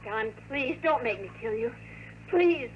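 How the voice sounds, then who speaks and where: An actress playing an anxious, conflicted time traveler in 1950s radio drama, speaking with earnest, melodramatic intensity. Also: female voice, on the high side, expressive, unhurried. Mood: pleading, torn. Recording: noisy old radio drama recording.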